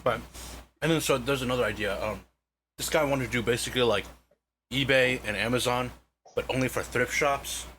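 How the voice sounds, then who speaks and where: A man's voice: medium pitch, a little fast, fairly bright tone, a little hiss or hum. A young man co-hosting a casual chat podcast, blunt and opinionated. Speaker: podcast co-host.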